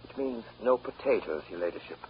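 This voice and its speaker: An actor playing an obsequious, hesitant and wordy Irish rent agent with an Irish accent in a 1930s radio drama. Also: male voice, on the low side, expressive, brisk, close to an everyday voice. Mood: deferential, gloomy. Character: deferential, rambling Irish rent agent, anxious before his betters. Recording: muffled, hissy 1930s radio broadcast.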